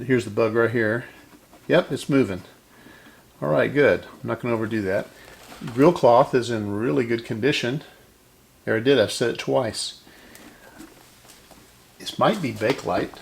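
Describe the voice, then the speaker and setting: A man with a gravelly voice casually narrating his hands-on repair work as host, musing and thinking aloud as he goes. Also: mid-range voice, normal speed, long pauses, slight background noise. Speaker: host of a vintage radio repair video.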